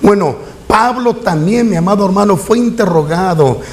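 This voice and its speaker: A middle-aged man preaches a sermon in a high voice. He keeps turning to his listeners, calling them warmly and pressing them with questions. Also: normal speed. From Mexico. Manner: preaching with a rhetorical refrain and questions to the congregation. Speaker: pastor.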